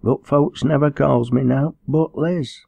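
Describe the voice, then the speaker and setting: A man's voice: mid-range voice, fairly even intonation, unhurried, gravelly, warm tone, breathy edge, dry recording, pitched above the performer's own voice, heavily acted. A man voicing a frightened, weak young working-class mother in an audiobook, faltering and aggrieved in a Lancashire accent. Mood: timid. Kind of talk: audiobook character dialogue.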